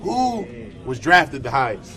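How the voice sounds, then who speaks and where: A man's voice: medium pitch, sing-song, measured pace, faint music behind. A man hosting a casual group interview, teasing his guests and repeating phrases for effect. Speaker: interview host. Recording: loud crowd chatter behind the voice.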